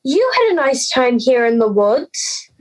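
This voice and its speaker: A woman's voice: high voice, sing-song, measured pace. A young woman playing an anxious, timid schoolgirl in an audio drama, hesitant and pleading. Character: anxious, timid schoolgirl afraid of being laughed at.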